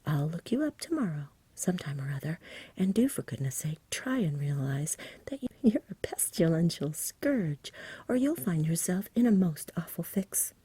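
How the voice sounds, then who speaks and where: Female reader as young boy, storybook, sensible, polite, matter-of-fact, soft whisper, lilting, dry close sound. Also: lowish voice, big pitch swings, moderate pace, husky, no room sound, exaggerated voice. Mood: exasperated, earnest. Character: sensible, frank young boy, kindly exasperated.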